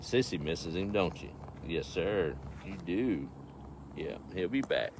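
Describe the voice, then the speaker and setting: A man's voice: low voice, animated, slow pace, darkish tone. A middle-aged man with an East Texas accent chatting casually on a farm livestream, folksy and easygoing, talking to his animals and viewers. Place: outdoors on a farm. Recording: faint outdoor background noise.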